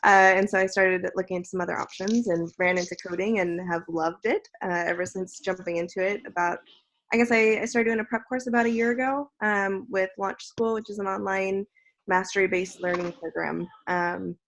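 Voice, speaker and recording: A woman's voice: mid-range voice, fairly even intonation, a little fast, low-quality recording. Chatty woman introducing herself on a call. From raised near Portland, Oregon. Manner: chatty, laughs between phrases. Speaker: coding program participant. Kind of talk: casual video call introduction. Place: indoor room.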